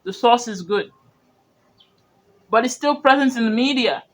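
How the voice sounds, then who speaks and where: High-voiced man. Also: unhurried, fairly bright tone, rough recording. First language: Yoruba. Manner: rambling, earnest, full of "you know" and restarts. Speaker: media commentator. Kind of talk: reaction commentary on video clips.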